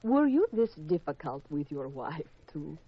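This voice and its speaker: An actress playing a flirtatious, worldly and evasive femme fatale in a 1950s radio crime drama, coy and teasing, with deliberate hesitations. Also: female voice, low voice, big pitch swings, slightly rough, heavily acted. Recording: dull vintage radio recording.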